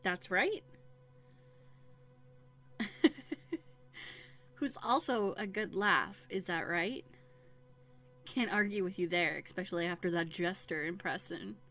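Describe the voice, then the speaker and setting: A woman's voice: fairly low pitch, slow pace, pauses often, full character voice. A woman playing a young runaway princess in a roleplay audio drama, playful and plucky, with a conversational, teasing manner. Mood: teasing, cheerful. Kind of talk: scripted one-sided roleplay audio drama. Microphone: close USB condenser microphone. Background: soft music.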